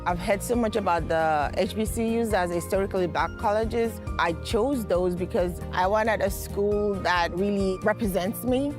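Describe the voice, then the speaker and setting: A woman's voice: medium pitch, normal speed, hardly any pauses. A woman from Nigeria, an international student, speaking in an enthusiastic, upbeat way in a scripted promo testimonial. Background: background music.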